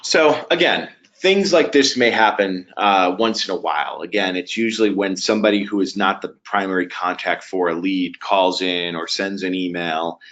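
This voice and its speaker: Low-voiced man presenting a webinar. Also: moderate pace, fairly bright tone. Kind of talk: software webinar walkthrough. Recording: dull, lo-fi webinar audio.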